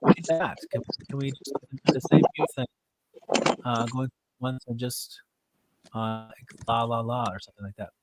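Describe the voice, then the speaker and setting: A man with a cold, leading a casual online music class in a loose, halting way, heard over a computer mic. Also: medium pitch, noisy background. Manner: relaxed, loose and halting with fillers. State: stuffed up with a cold. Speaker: class teacher.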